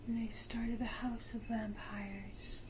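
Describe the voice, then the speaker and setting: A woman voicing a proud, condescending vampire matriarch in a breathy, deliberate ASMR whisper, with theatrical pauses and close to the microphone. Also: fairly high pitch, little pitch movement, noisy background. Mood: tender, proud. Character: powerful female vampire matriarch, menacingly tender, proud, condescending.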